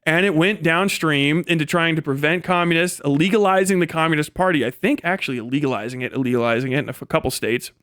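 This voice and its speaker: A man with a fairly high, very clear and smooth voice talks like a livestream host. He gives casual political commentary, rambling and swearing freely in an animated way. The sound is dry and close. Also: fast talker, few pauses, no room sound.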